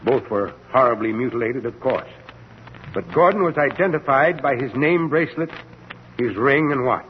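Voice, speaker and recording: An earnest, probing middle-aged doctor played by a man, solicitous and emphatic in vintage radio drama style. His delivery is highly expressive, heard in a dull recording with a long reverberant tail. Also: normal speed, slight background noise, natural voice. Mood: grave, persuasive. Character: earnest, insistent middle-aged male doctor, a concerned old friend. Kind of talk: old-time radio drama acting.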